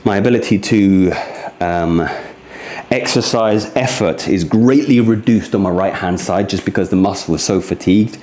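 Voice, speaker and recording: Man, soft mellow tone, earnest confiding delivery, casual exercise instruction, echoey recording. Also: fairly low pitch, normal speed, slight background noise. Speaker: fitness vlogger demonstrating rehab exercises. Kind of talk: casual exercise demonstration with candid reflection. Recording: echoey, roomy sound.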